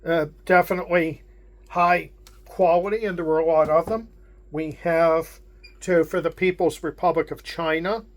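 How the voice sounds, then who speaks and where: A man with a Pittsburgh accent, hosting a casual unboxing and walking through the contents in a measured way with frequent pauses. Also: on the high side, steady tone. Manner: unhurried, measured, with frequent hesitations. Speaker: wargame channel host.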